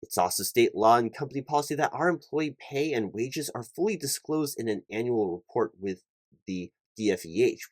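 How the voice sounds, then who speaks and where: A man with a fairly low voice, recorded close and dry, roleplays a corporate hiring manager. He sounds earnest and a little flustered, stumbling over his words as he explains things in official business language. The delivery is that of a one-sided ASMR interview. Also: dry recording. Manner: halting, stammering corporate earnestness.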